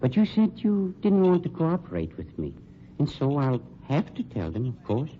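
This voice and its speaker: An actor playing a sly funeral director with formal, insinuating politeness, in old-time radio mystery style. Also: male voice, fairly high pitch, wildly swooping pitch, normal speed. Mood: coolly threatening. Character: suave, sly male funeral director, coolly menacing. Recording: dull lo-fi old radio recording, faint noise.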